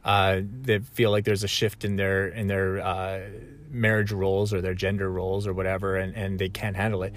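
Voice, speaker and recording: A man's voice: low voice, flat delivery, moderate pace, few pauses. A man talking reflectively in a conversational, self-correcting way. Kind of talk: reflective solo talk.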